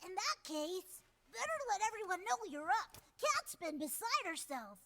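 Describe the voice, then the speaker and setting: Squeaky, feisty little companion creature. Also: female voice, sing-song, normal speed, full character voice. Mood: relieved. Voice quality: squeaky, childlike. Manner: brash, indignant. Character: small feisty squeaky flying companion creature, fiercely loyal. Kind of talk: English-dubbed anime game acting. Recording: game audio under loud music.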